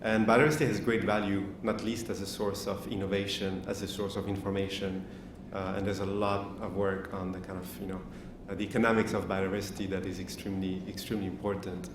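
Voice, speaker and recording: A man with a lowish voice answers audience questions at a summit panel, laying out his points in a measured, explanatory way. He is picked up from a distance in a large hall, so his voice sounds roomy and echoey. Steady background noise runs under the speech. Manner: measured, explanatory, thinking aloud. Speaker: panel speaker. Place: lecture hall.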